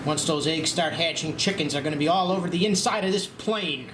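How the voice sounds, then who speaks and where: A man reading the part of a deadpan, grave doctor in an amateur comedy table read. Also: a little high, fairly quick, close to an everyday voice. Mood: grave warning. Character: deadpan male doctor in an airplane disaster spoof. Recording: echoey, noisy room with hum.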